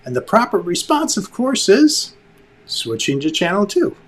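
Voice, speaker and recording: A man gives conversational instruction in a somewhat high, husky voice. He leans on key words for emphasis and slips in joking asides as he goes. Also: huge pitch swings, moderate pace. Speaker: instructor and show host, film director.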